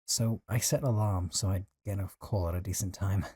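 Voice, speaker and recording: A male actor plays a possessive young man in an audio drama, with a deep, mellow voice. He talks casually and intimately, as if to a close friend, with a jealous, lovesick streak under the teasing. The sound is close and dry. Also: fairly even intonation, brisk, husky, no room sound. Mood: fond, teasing. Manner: casual, teasing, sighing, conversational. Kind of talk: intimate audio roleplay drama, one side of a call.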